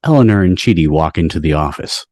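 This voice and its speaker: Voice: low-pitched, animated, fast, darkish tone, dry recording. A narrator reading stage directions for an amateur table read on a video call.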